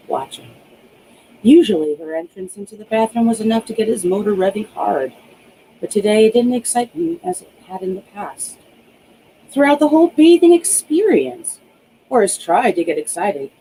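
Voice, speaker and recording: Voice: medium pitch, mellow, slight background noise, poor audio. An author reading their own novel aloud as scripted audiobook narration.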